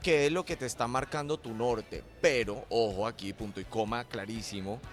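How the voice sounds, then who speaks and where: A man's voice: medium pitch, moderate pace, soft background music. A middle-aged man from Colombia with a Colombian accent, a guest in a radio interview, explaining his ideas with sayings and metaphors. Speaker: entrepreneur guest.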